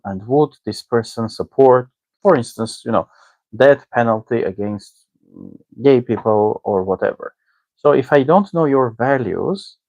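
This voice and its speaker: Male discussion host, native Armenian speaker, medium pitch, hesitant casual delivery with frequent check-ins, dry recording. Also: no room sound, low-quality recording. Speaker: online teacher and discussion host. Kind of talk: casual spoken commentary on a book.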